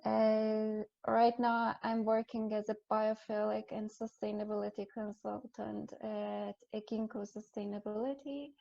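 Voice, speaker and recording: Woman on a call, slow and flat, gravelly voice, lots of pauses, muffled audio. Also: medium pitch, faint music behind. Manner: measured, with many pauses and fillers. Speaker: sustainability consultant. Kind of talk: self-introduction on a video call. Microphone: video call. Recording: dull lo-fi video call audio.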